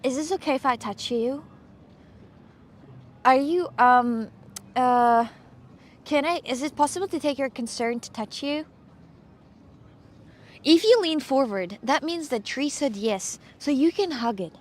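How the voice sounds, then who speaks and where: A woman from Russia, streaming casual chat on a street with her phone, excitable and playful. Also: high voice, unhurried, pauses often.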